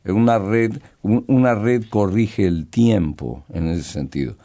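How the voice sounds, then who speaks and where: Slow, mellow older man. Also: fairly low pitch. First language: Brazilian Portuguese. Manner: slow, hesitant, halting, thoughtful. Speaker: poet and guest. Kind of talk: reflective interview talk.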